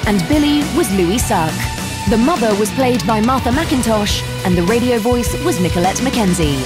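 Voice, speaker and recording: A woman reading scripted credits as an announcer for an audio drama production. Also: on the high side. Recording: loud guitar music behind the voice.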